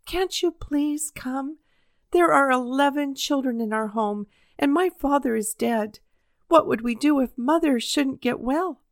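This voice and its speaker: A woman's voice: high voice, a little slow, no room sound, clean studio sound, voice pushed up. A woman voicing a poor twelve-year-old boy who earnestly pleads for help. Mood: pleading.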